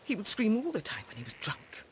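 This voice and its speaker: A woman's voice: medium pitch, huge pitch swings, fairly quick, slight background noise. A woman playing a respectable, sorrowful middle-aged widow in a video game, speaking formally and hesitantly. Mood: troubled, recounting. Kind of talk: scripted game dialogue.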